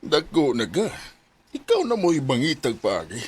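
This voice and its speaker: A man's voice: fairly high pitch, huge pitch swings, unhurried, breathy edge, exaggerated voice. An actor playing a blunt, exasperated middle-aged father in a radio drama. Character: dismissive, exasperated father of a grown son. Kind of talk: Filipino radio drama acting.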